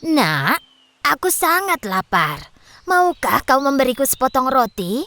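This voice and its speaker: A woman's voice: high voice, very animated, measured pace, pushed and bright, no echo, full character voice. A woman voicing a poor old grandmother in a children's cartoon story, by turns pleading and advising. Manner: pleading then advising, knowing. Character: poor old grandmother, kindly but cunning.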